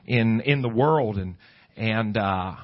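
A middle-aged American man preaching as a pastor, in emphatic, deliberate phrases with pauses. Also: fairly low pitch. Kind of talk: preaching a sermon to a congregation, reading scripture. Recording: dull, lo-fi sermon recording.

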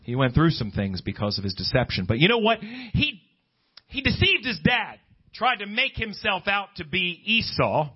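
Male preacher, higher-pitched voice, dull recording. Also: sing-song, moderate pace, husky. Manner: emphatic, rhetorical questions, quotes scripture and imagined objectors. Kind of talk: sermon, conversational extemporaneous preaching.

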